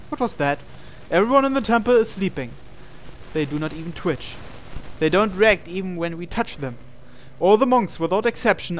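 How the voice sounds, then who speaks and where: Higher-pitched man reading a visual novel. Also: a little hiss or hum. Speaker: host reading a game aloud. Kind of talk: narrated visual novel playthrough with commentary.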